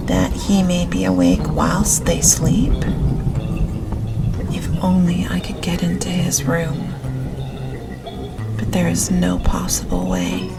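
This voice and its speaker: A woman with a slightly low voice reads a gothic novel aloud as an audiobook. Her delivery is gentle and very slow, each phrase measured. Prominent music and ambience play beneath her. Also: creaky. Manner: gentle, unhurried, measured reading. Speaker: audiobook narrator.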